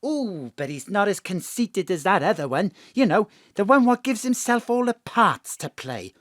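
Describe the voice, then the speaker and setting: A woman's voice: mid-range voice, big pitch swings, moderate pace, no echo, studio quality, lightly acted. A woman playing a nosy, sardonic, gossiping female companion in an audio drama, talking colloquially.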